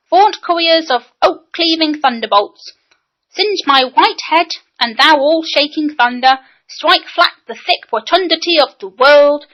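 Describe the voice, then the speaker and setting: A woman's voice: high voice, fairly bright tone, pitched above the performer's own voice, heavily acted. A woman voicing a little boy who grandly recites speeches but cannot pronounce his R's. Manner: pompous declaiming, R's turned into W's. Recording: dull lo-fi recording.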